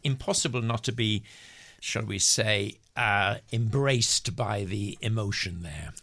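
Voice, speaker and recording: A man presenting a radio program, introducing music and gently interviewing his guest at a measured pace. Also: lowish voice. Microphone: studio microphone.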